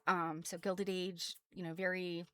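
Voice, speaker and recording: A woman's voice: lowish voice, level tone, a little slow, pushed and bright. An American woman presenting quilt history casually as a host, chatty and self-deprecating, wandering off into asides.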